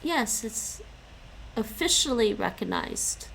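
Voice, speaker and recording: A woman's voice: fairly high pitch, very animated, slow, gravelly, a bit bright, slight background noise, rough recording. A young woman chatting casually with stream viewers while she studies languages. She stops and restarts often and laughs a lot. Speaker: live streamer studying languages.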